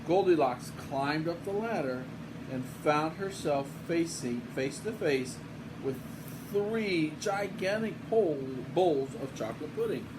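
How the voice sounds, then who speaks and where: A man's voice: a little high, slow pace. An older man, a grandfather, reading a picture book aloud in a casual, playful way with folksy asides. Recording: home recording with steady room hum.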